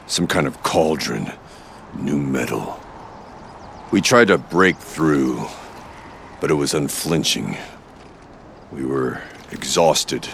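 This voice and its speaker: A stern weary chieftain in a game, super deep and gravelly, talks slow and solemn. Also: male voice, a little hiss or hum, heavily acted. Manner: solemn, measured, formal speech. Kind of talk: video game dramatic acting.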